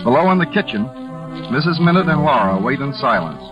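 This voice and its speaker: A male narrator reads a scripted scene-setting in vintage radio style. His pitch moves widely, with loud music behind him and a muffled old recording. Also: sing-song, a little fast. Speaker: drama narrator.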